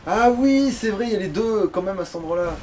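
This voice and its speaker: A man's voice: high voice, lively intonation, fairly quick, a little mellow. A man chatting casually as he plays a game, grumbling and swearing. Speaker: let's play gamer. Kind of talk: casual gaming chat. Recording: clearly noisy home recording.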